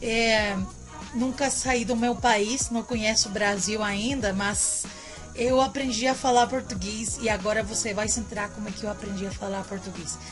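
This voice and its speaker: A woman's voice: mid-range voice, steady tone, normal speed, music in the background. A woman from Mexico, whose first language is Spanish, chats to camera in a Mexican Spanish accent, rambling, repeating herself and tagging lines with "né". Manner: chatty, rambling, repeats phrases and tags with "né". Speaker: vlogger. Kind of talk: casual chat to camera.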